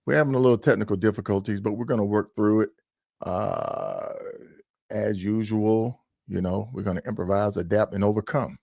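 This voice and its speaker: A man's voice: fairly low pitch, lively intonation, normal speed, creaky, darkish tone, dry recording, clean studio sound. A man co-hosting a live podcast, upbeat and chatty, greeting viewers over and over. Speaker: podcast co-host.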